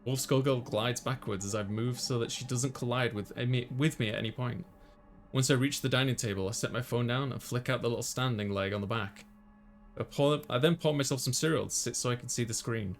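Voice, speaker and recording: A man's voice: mid-range voice, brisk. A man hosting a gaming video, reading visual novel text aloud and adding casual asides.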